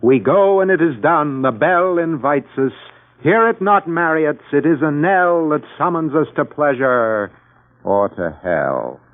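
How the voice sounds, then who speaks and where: A man's voice: on the high side, expressive, unhurried. An actor playing a weary, wry American husband and father in a mid-century radio drama, dry and a little grandiloquent. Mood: mock-tragic. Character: wry, weary married lawyer and father, narrating his ordeal. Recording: tinny band-limited old radio broadcast, music behind.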